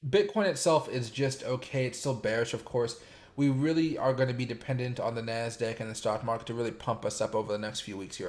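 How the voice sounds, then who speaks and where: A man hosting a casual crypto livestream, his voice a little sore and raspy from days of streaming, rambling with lots of fillers and greeting chat viewers by name. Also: mid-range voice.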